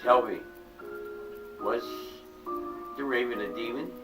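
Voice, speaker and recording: A man giving a theatrical poetry reading, swinging between loud declaiming and hushed low tones. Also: takes it slowly. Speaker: channel host reading a poem. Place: small home room set up as a paranormal museum. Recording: distant mic with faint music behind.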